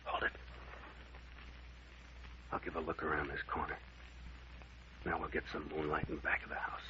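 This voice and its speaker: An actor playing a tough, commanding captain in an old-time radio mystery serial, giving brisk orders. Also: male voice, a bass voice, monotone, muffled recording. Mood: hushed, tense. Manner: brisk, bossy, clipped orders. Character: tough, commanding captain investigating eerie graveyard happenings. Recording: noisy, band-limited old radio recording.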